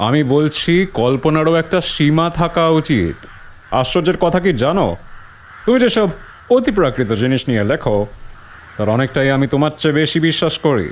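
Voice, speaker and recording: A man's voice: a little high, animated, fairly quick, close to an everyday voice. A man playing a skeptical, pragmatic school principal in an audio drama, dry, scornful and lecturing. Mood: firm reasoning.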